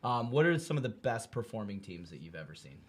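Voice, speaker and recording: A man's voice: on the low side, very animated, fast talker, slightly rough. An American man hosting a podcast with casual, joking banter and informal questions. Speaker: podcast host.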